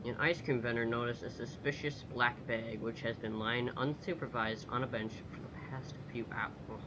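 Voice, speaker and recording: A man's voice: medium pitch, steady tone, normal speed, highs cut off, noisy background. A man giving casual gameplay commentary as a video host, muttering to himself, swearing and reading text aloud.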